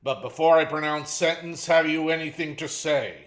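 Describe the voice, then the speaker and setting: Casting: male actor as solemn judge, fairly high voice, formal declamation, echoing room. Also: normal speed, fairly bright tone, close to an everyday voice. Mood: stern. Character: stern, solemn male judge passing sentence. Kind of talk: old-time radio courtroom drama acting. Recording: echoey room sound.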